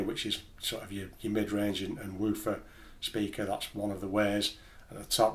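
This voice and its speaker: Low-key man, very even pitch. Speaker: host of a home studio gear video channel. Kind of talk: casual explainer talking to camera.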